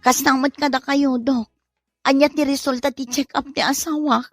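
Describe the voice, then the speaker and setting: Woman playing nagging village wife, Ilocano accent, high-pitched, forceful, dry close sound, comedy drama. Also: fairly even intonation, moderate pace, no room sound, faint music behind, close to an everyday voice. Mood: complaining.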